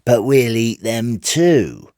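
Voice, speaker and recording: A small, menacing talking rat acted by a male reader from a storybook tale. It speaks slowly and insolently, a little raised in pitch, stretching out its taunts. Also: sing-song, mellow, dry recording, studio quality. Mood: taunting.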